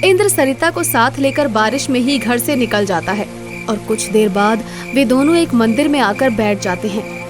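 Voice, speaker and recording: A woman's voice: on the high side, animated, moderate pace, music in the background. A woman narrating a scripted moral story as the storyteller.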